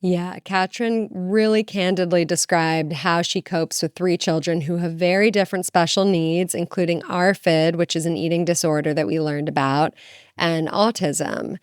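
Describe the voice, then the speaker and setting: A woman's voice: lowish voice, fairly even intonation, normal speed, smooth, no echo, studio quality. A woman co-hosting a podcast, chatty and enthusiastic in casual interview talk. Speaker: podcast co-host.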